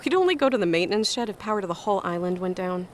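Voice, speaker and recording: An actress playing a brisk, matter-of-fact woman resort staffer with dry humour in an audio drama. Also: medium pitch, animated, a little hiss or hum, close to an everyday voice.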